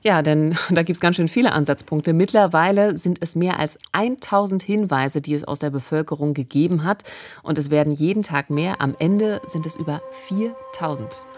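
A woman's voice: sits low, normal speed, a little mellow. A woman in her forties co-hosting a true-crime podcast, narrating conversationally at a studio microphone. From Eberswalde area, Germany. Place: wood-panelled podcast studio. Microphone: studio condenser mic with pop filter.

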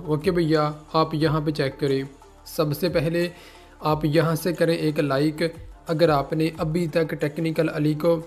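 A man's voice: on the high side, darkish tone. A man giving an informal app tutorial voice-over, instructing the viewer directly step by step and repeating himself. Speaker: host of a tech tutorial channel.

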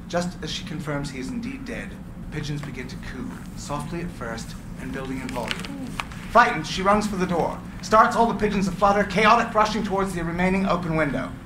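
Gravelly male narrator for stage directions, echoey room, noisy. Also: a little high, moderate pace. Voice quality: gravelly from recording work. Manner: clear, expressive reading. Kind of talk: script reading narration. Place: meeting room with audience. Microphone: stand microphone. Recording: echoey room, background noise.

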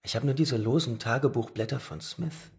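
A man's voice: medium pitch, moderate pace, creaky, darkish tone, lightly acted. An actor playing a studious, preoccupied young man absorbed in research in an audio drama.

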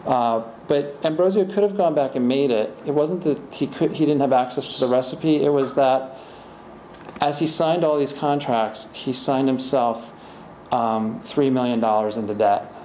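Middle-aged guy at a library talk, mid pitch, lots of um and restarts, roomy echo. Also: normal speed, warm tone. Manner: rambling, many restarts and fillers. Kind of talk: audience Q&A, conversational. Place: library event room, indoors. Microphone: podium microphone, far camera. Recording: roomy hall echo, faint hiss.

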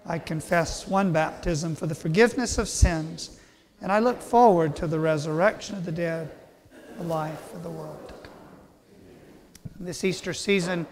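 Priest type, male, steady measured recitation, big reverberant church. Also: fairly high pitch. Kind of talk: leading liturgical prayer. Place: large church.

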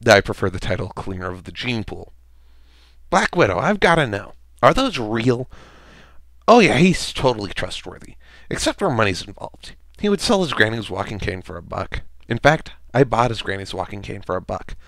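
A man's voice: lowish voice, sing-song, normal speed, gravelly, a bit bright, dry recording, heavily acted. A man voicing a cocky, irreverent mercenary antihero with a sarcastic, playful, taunting manner, as in a character voice demo. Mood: playful, teasing. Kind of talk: amateur character voice acting demo.

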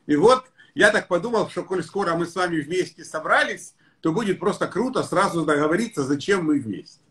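A man in his sixties, a pediatrician hosting a live stream from his home study, talking in a warm, effusive, enthusiastic way. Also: high voice, expressive, brisk. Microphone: webcam or phone on a video call.

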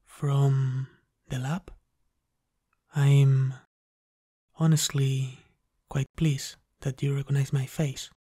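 A man playing an obsessive, polite vampire lab technician in a slow, intimate ASMR roleplay, speaking softly and haltingly. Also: medium pitch, level tone, slightly rough, dry recording, studio quality. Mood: polite, quietly pleased. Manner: hushed, halting, deliberately polite. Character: soft-spoken obsessive male vampire lab technician, courteous, menacing.

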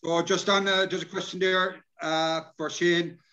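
A man with an Irish accent presenting informally at an online workshop in a casual, matey, halting way. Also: high voice, level tone, bright, forceful tone. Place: indoor room, on a video call. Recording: dull lo-fi video call.

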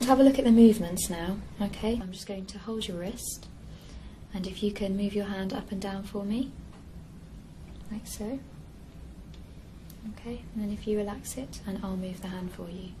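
A young woman talks a patient through a physical exam step by step. Her voice is mid-pitched, and there is clear noise behind it. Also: moderate pace. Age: young adult. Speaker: clinician examining a patient. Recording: noisy office room recording.

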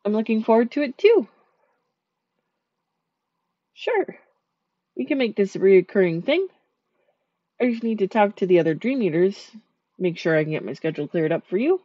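A woman voicing a sweet, shy female dream eater spirit in a soft, gentle, soothing ASMR sleep-aid roleplay. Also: mid-range voice, very animated, normal speed, pauses often, no room sound, dull audio.

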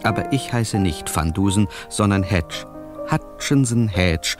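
An actor playing a wry reporter who narrates a detective radio play in the first person, tongue-in-cheek. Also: male voice, on the low side, expressive, a little slow. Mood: wry introduction. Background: music.

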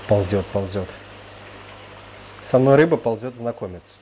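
A man's voice: fairly low pitch, measured pace, darkish tone. A man giving casual, off-the-cuff commentary as a vlogger touring an indoor aquarium room. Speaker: vlogger filming a tour of private aquariums. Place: indoor room full of large fish tanks. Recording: faint water and room noise.

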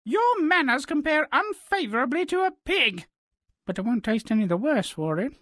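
Swooping, menacing giant's wife. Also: female voice, sits high, very animated, moderate pace, fairly bright tone, dry recording, studio quality. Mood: scornful. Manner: theatrical, scolding. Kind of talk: cartoonish video game acting.

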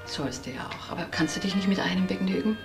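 An actress playing a sensible, admonishing office colleague in a crime radio drama, reproachful and matter-of-fact. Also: female voice, on the low side, fast talker, vocal fry, faint music behind.

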